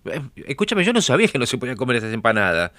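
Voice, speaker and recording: An exasperated husband with a Rioplatense accent, in a naturalistic audio drama. He talks fast and haltingly, repeating himself defensively. His pitch jumps around a lot, and the sound is very dry. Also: huge pitch swings, bright, forceful tone, no echo. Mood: pleading. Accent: Rioplatense Argentine. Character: exasperated, defensive husband, weary and stumbling over words.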